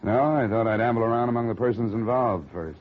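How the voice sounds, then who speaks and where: A man's voice: mid-range voice, a little fast, close to an everyday voice. A man playing a wry insurance investigator and narrator in a 1950 radio drama, laconic with dry wit. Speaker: narrator and lead detective. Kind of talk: 1940s radio acting. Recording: dull 1950 radio recording with music.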